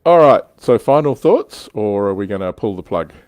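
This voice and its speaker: A man's voice: on the low side, expressive, moderate pace, a little mellow, dry recording. A man hosting a casual hobby livestream chat with wry, teasing banter. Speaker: livestream host.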